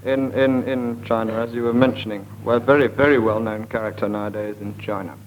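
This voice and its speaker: A man's voice: mid-range voice, fairly even intonation, fairly quick. A man bantering as a panellist in a comedy game. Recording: dull noisy live recording.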